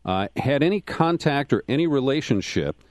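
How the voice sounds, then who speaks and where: A man hosts a political talk radio show in a measured, unhurried voice. His studio sound is dry and close, with no room echo. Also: mid-range voice, lively intonation, darkish tone. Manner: measured, reads out web addresses slowly. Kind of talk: hosting a political interview.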